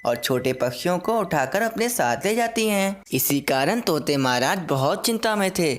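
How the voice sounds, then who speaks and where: A woman's voice: fairly low pitch, animated, normal speed. A woman narrating a scripted children's story.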